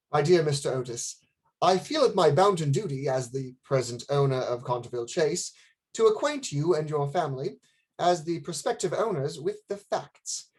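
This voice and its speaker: A grave lord in a radio drama. The voice is husky and widely inflected, with courteous, stately phrasing and a formal manner. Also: male voice, a little high, animated, moderate pace, darkish tone. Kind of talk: radio play acting.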